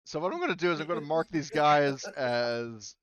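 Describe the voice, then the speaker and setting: A man's voice: a little high, lively intonation, moderate pace, crowd noise. A man running a tabletop game as game master, explaining rules and thinking aloud in a casual way.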